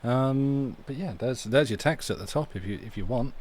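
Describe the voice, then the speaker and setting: A man's voice: mid-range voice, lively intonation, moderate pace, darkish tone, a little hiss or hum. A man hosting a live stream in casual chat, tentative and rambling, often interrupting himself. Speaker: livestream host.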